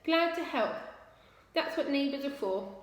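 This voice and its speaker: Casting: helpful, polite male hedgehog neighbour for a storybook, played by a woman, high voice, echoing room. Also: animated, a little slow. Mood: reassuring. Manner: courteous, helpful, chatty. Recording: very echoey room with long reverb.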